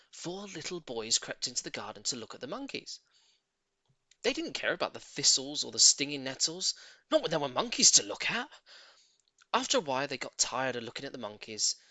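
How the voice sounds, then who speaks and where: Animated male children's storyteller, bright voice. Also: medium pitch, no echo. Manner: theatrical, emphatic storytelling. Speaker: audiobook narrator. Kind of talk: expressive scripted narration of a children's story.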